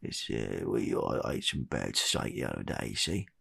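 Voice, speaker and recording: Man playing tiny ailing British geezer, comedy roleplay; deep, croaky, matey, plaintive and halting. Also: very animated, a bit bright, full character voice. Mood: sheepish. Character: tiny poorly working-class bloke living inside someone's body.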